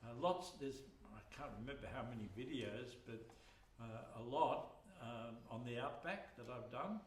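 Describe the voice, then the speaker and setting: An older man, a folk performer, chatting softly and haltingly to a small audience between songs, with many ums and uhs. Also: medium pitch, expressive. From Australia. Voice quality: soft-spoken. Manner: rambling, hesitant, full of ums and uhs. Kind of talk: casual stage chat between songs. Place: small hall with a live audience. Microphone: no microphone, recorded from a distance. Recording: roomy hall, distant camera mic, live music. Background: folk instruments playing.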